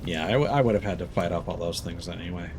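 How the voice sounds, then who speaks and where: A man's voice: low voice, quick pace, noisy background. A man giving casual live video game commentary, thinking aloud and swearing now and then.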